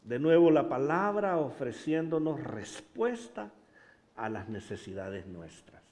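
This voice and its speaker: Honduran pastor with a mellow voice. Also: male voice, mid-range voice, unhurried. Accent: Honduran Spanish. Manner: animated storytelling, laughs at himself. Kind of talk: bilingual sermon with interpreter.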